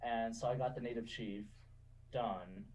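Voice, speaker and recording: A man in his twenties telling stories in a relaxed, chatty way, laughing often and saying "you know" a lot. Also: medium pitch, a little slow, far from the mic, highs cut off. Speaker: guest. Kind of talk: casual interview storytelling.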